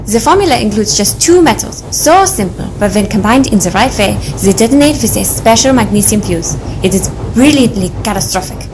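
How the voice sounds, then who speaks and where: An actress playing a proud, coolly confident scientist in an audio adventure drama, speaking with formal precision. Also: female voice, medium pitch, animated, a little fast, hardly any pauses, background noise, close to an everyday voice.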